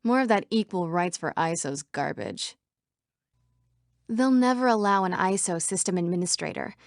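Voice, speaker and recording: A young woman voicing an earnest female computer program citizen in a video game, with crisp, dramatic delivery. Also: on the low side, expressive, normal speed, no echo, studio quality. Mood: measured.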